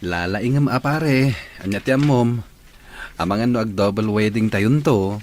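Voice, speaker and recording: A man plays a devoted young boyfriend in an audio soap, earnest and sentimental. His voice is fairly low, with faint noise around it. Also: normal speed, a little mellow, close to an everyday voice. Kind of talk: Ilocano audio soap drama acting.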